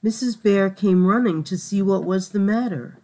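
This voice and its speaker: A woman's voice: mid-range voice, normal speed, a little mellow. A woman reading a children's picture book aloud as a storyteller. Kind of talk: slow scripted picture-book narration.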